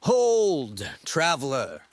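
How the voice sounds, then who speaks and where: A man's voice: on the high side, huge pitch swings, extremely slow, no echo, heavily acted. A man playing a town gate guard in a fantasy audio drama, calling out in a projected, official manner. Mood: stern, challenging. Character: male town gate guard, commanding yet deferential.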